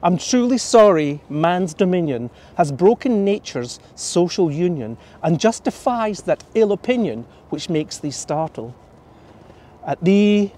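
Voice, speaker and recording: A man's voice: fairly high pitch, dark tone. Scottish man guiding an outdoor tour. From Scotland. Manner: reads verse aloud from a folder, storytelling. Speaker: tour guide. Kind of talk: guided tour talk with poetry reading. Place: outdoors in a snowy city park. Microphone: camera at a distance.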